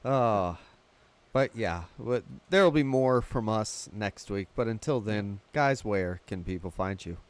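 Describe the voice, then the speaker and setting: A man's voice: on the low side, expressive, unhurried. A man hosting a film podcast with casual, chatty talk.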